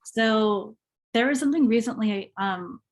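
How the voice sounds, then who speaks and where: A young adult panelist with a feminine-sounding voice of medium pitch, who grew up with Spanish as a first language. They talk personally and reflectively, pausing to search for the right words. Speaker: panelist, university graduate.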